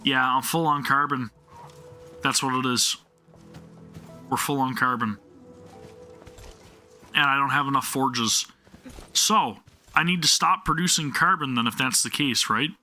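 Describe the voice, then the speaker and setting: Bright-voiced man live-streaming a game. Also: medium pitch, unhurried, pauses often, soft background music. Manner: puzzled self-questioning, talking to viewers. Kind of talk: casual game stream commentary, thinking aloud.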